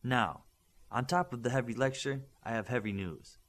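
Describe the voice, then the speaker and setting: A man voicing a stern, principled instructor who lectures young superhero trainees in measured, emphatic audiobook dialogue. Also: medium pitch, fairly bright tone, natural voice. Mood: earnest, firm. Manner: emphatic, didactic, measured lecturing with rhetorical questions.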